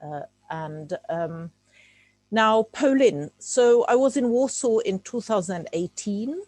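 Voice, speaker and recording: A woman's voice: mid-range voice, animated, slow, darkish tone, no echo. A woman from Czechoslovakia, a native Czech speaker, giving an informal talk over a video call, hesitant and full of fillers and restarts. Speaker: guest speaker.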